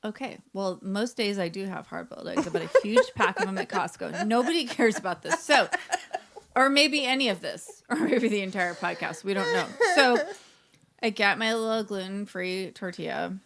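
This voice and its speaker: A woman's voice: medium pitch, expressive, normal speed, creaky, a bit bright. An American woman co-hosting a podcast, telling rambling, self-deprecating stories in casual chat and swearing freely. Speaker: podcast co-host.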